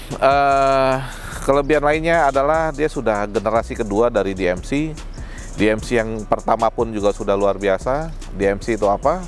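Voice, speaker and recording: A man giving a casual product demonstration, explaining things colloquially and speaking directly to viewers. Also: lowish voice. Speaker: product presenter.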